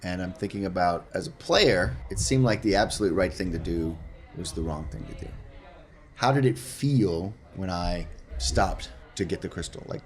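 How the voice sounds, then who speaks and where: A man playing a tabletop role-playing game, thinking aloud in casual, halting talk. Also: low voice, lively intonation.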